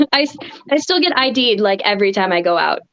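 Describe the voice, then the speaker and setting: A woman in her twenties, a panelist in a casual group chat, talking in a halting, hesitant way. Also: medium pitch, expressive, a bit bright, lo-fi sound. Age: 20s. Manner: hesitant, halting, with nervous laughs.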